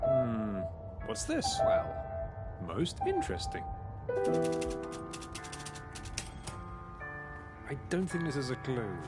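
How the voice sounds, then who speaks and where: Game role: male actor as bumbling, mildly pompous pig sleuth, hugely animated intonation, loud music underneath. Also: mid-range voice, a little slow. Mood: curious, musing. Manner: hesitant, ponderous, courteous. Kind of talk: video game character acting.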